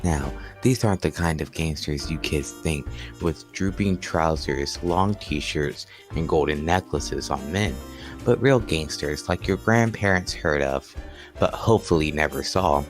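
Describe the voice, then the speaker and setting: Deep-voiced man playing an old-time radio announcer. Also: measured pace, soft background music. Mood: formal, welcoming.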